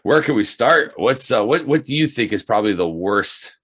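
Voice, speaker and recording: A man's voice: mid-range voice, expressive, normal speed, a bit bright, breathy edge. A man hosting a podcast in casual chat, with a jokey manner and a habit of repeating phrases. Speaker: podcast host.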